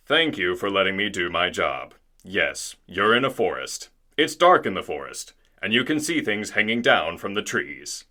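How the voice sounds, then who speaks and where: A man's voice: low voice, very animated, normal speed, bright, forceful tone, heavily acted. A man playing a theatrical, put-upon narrator in a scripted radio drama.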